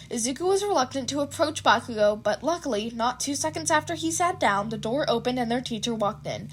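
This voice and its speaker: A woman's voice: a little high, slight background noise. A woman narrating fan fiction expressively, with casual asides.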